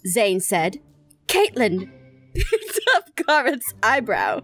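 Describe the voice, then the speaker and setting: A woman's voice: mid-range voice, sing-song, slow, fairly bright tone, faint music behind. A woman hosting and narrating a comic fan fiction reading in a playful, giggly, exclaiming manner. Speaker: host and narrator. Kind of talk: reading fan fiction aloud, improvised comedy.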